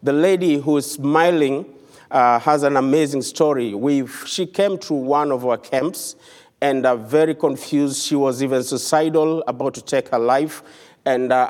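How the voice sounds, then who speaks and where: A man's voice: medium pitch, a little mellow, in a large hall. A man from Kenya with a Kenyan accent, giving an earnest, conversational mission report to a church congregation with touches of humor. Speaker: visiting missionary speaker. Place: church sanctuary.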